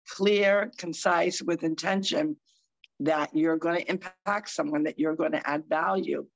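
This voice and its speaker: A woman co-hosting a live talk show over a video call, hoarse and scratchy, chatting in an upbeat, encouraging way. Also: fairly low pitch. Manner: upbeat, encouraging, rambling asides. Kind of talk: casual motivational chat.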